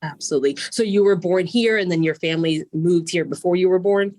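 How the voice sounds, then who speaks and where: A woman's voice: on the low side, fairly quick, darkish tone, no echo. A woman from Miami whose first language was Spanish, hosting a podcast interview in an upbeat, enthusiastic way. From Miami, USA. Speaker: guest podcast host.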